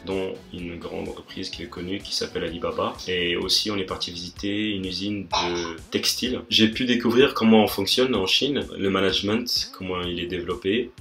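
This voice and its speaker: Young male student, deep, bright edge, halting testimonial. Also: steady tone, vocal fry, faint music behind. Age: young adult. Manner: plain halting speech with fillers.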